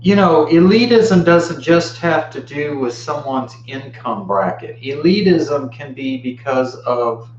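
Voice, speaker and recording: An American man of fifty-nine giving an informal dharma talk in a reflective, halting way with long pauses. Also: a little high, lively intonation. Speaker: Buddhist teacher giving a talk. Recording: muffled, roomy, faint background hum.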